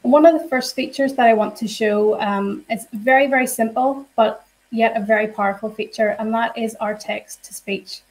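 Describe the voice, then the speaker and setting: A woman at her desk, talking through a screen-shared software demo for teachers. She explains each feature in a friendly, step-by-step way, with plenty of "um"s, and she sounds like she is speaking into a computer mic. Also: on the high side, fairly even intonation, normal speed, poor audio. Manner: friendly and explanatory, many fillers. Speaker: software trainer.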